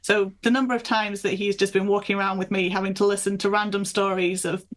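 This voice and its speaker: A woman's voice: medium pitch, level tone, quick pace. Chatty woman in a casual interview. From north of England and Michigan, USA. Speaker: author being interviewed.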